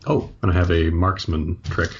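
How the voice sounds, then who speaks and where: A man's voice: low voice, steady tone, moderate pace, husky. A man talking casually as a player in a tabletop roleplaying game, working out his moves and dice, on a dull recording.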